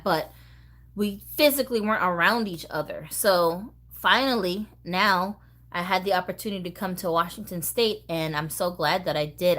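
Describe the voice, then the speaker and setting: Casual female vlog host, middle-aged, US East Coast, mid-range voice, expressive and slangy, talks straight to the viewer. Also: mid-range voice. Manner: animated, slangy, expressive, addresses viewers directly. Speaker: host of a doll collecting channel.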